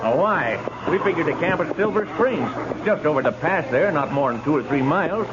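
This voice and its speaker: An actor in a 1950s radio western playing an anxious, insistent wagon train settler with a folksy, questioning manner. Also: male voice, fairly high pitch, expressive, a little fast, natural voice. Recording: muffled, noisy 1950s radio recording.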